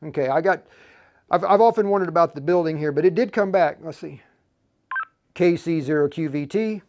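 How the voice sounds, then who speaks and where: Male tutorial host, higher-pitched, casual and halting, talks straight to the audience. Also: darkish tone. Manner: halting, repeats and restarts phrases; informal, addresses viewers directly as "you guys". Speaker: livestream host and instructor. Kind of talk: live tutorial, casual talk to viewers.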